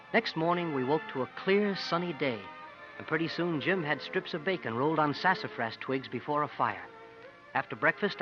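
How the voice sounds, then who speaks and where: A male actor as a loyal, eager lad in a golden-age radio play. He speaks simply and politely in a fairly high male voice, full of earnest admiration. The broadcast is muffled with age. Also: close to an everyday voice. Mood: fond recounting. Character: earnest, eager boy, loyal sidekick to a showman. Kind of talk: 1950s radio comedy-drama acting. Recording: dull old radio broadcast.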